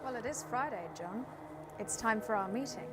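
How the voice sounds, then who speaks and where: Actress as theatrical female cult boss, comedy, high pitch, imperious and threatening. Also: normal speed, loud music behind the voice, lightly acted. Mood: coolly menacing. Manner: formal, theatrical. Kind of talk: scripted audio comedy acting.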